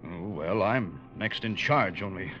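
A man's voice: low voice, lively intonation, a little slow, muffled recording, background noise. An actor playing a dutiful, uneasy first officer who narrates his own story in an old radio drama. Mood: guarded, brisk. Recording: band-limited vintage radio broadcast.

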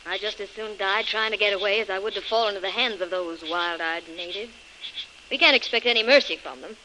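A brave young woman on the run in an old radio serial, as an actress plays her, speaking plainly and resolutely. Her voice is bright and sharp-edged, with faint hiss around it. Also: mid-range voice, brisk, natural voice, rough recording. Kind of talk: 1930s radio acting.

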